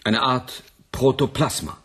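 An actor playing a sharp-witted, easily indignant male scientist in a radio drama, speaking in a pointed, articulate way. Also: mid-range voice, measured pace, slightly rough. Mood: grave, analytical. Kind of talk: German science fiction radio drama acting.

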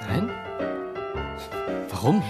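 Young male writer, radio drama, polite, hesitant, quietly wry, music behind. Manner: halting, understated.

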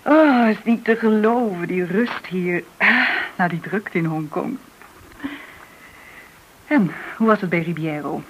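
A woman acting in a radio play as a businessman's confiding friend, tentative and at times soft-spoken. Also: on the low side, slow, long pauses. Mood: relaxed. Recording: dull, noisy old radio recording.

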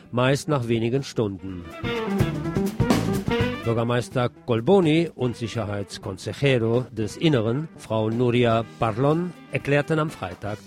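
A man's voice: medium pitch, a little mellow. A man presenting the news as scripted narration.

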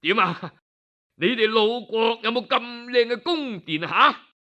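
A man's voice: very high voice, animated, a little slow, bright, forceful tone, dull audio, pitched above the performer's own voice, full character voice. A man with a Cantonese accent voicing a vain, boastful ancient king in an oral story, self-satisfied and exclamatory. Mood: smug boasting.

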